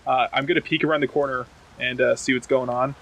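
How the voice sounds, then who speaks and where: A man talking casually as a tabletop game player, stating his moves in an informal, deliberate way. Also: medium pitch, fairly even intonation, fairly bright tone, poor audio. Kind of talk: casual game play talk.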